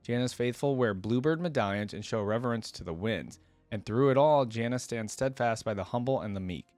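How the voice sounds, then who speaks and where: A man's voice: medium pitch, no echo. A man reacting and reading stories aloud as a video creator, with informal, chatty asides between the passages. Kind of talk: casual reaction commentary and read-aloud.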